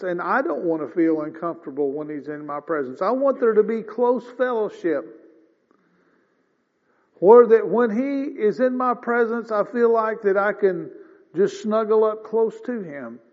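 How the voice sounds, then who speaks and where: A man in his fifties preaching a sermon in a folksy, conversational way, often clearing his throat. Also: high voice. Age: 50s. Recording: dull lo-fi sound with long reverb.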